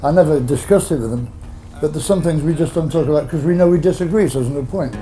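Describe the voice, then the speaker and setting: A man's voice: on the high side, fast talker, low-quality recording. A man in a band, chatting casually and joking, rambling with lots of "you know". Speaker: band member. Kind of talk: casual interview chat. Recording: music playing underneath.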